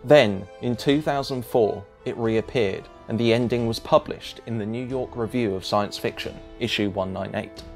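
A man's voice: mid-range voice, moderate pace, hardly any pauses, a little mellow, faint music behind. A man reading scripted narration as a video essayist, with a wry, emphatic delivery.

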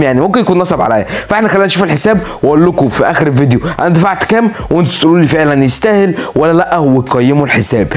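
A young man with an Egyptian accent, tired from no sleep, giving excitable, dramatic casual commentary as a gaming YouTuber showing off a game account. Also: fairly high pitch. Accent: Egyptian Arabic. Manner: excitable, dramatic outbursts, playful threats.

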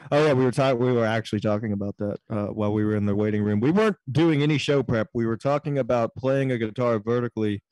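A man's voice: medium pitch, fast, few pauses, darkish tone, no room sound, rough recording. A man hosting a live comedy podcast on a video call, crude and wisecracking. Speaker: host. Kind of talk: casual comedy podcast banter.

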